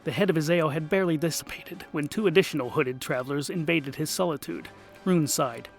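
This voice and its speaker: A man's voice: on the high side, a little fast, gravelly, faint music behind. A man narrating a comic fantasy audio drama in a wry, mock-epic, emphatic theatrical style.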